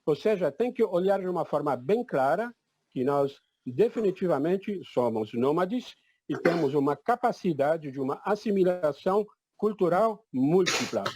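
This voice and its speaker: A man's voice: on the high side, animated, moderate pace, darkish tone, a little hiss or hum. An older man born in Egypt, giving an emphatic, declarative personal testimony at a public talk. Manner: emphatic, declarative, repeats "definitivamente". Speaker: event participant.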